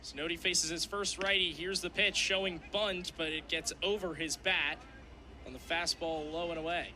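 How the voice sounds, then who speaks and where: A man's voice: on the high side, steady tone, normal speed, pushed and bright, soft background music. A young man doing radio play-by-play of a live game in clipped, descriptive phrases. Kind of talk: live sports commentary.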